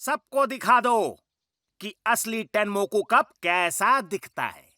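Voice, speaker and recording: High, sharp-voiced scheming royal minister. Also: male voice, lively intonation, slow, no echo, studio quality, full character voice. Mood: smug, scornful. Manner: imperious, declamatory. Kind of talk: Hindi cartoon dub acting.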